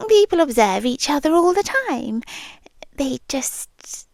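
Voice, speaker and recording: A British woman half-whispering a soft, nervously chatty ASMR roleplay into a close microphone. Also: sits high, sing-song, slow, creaky, no echo.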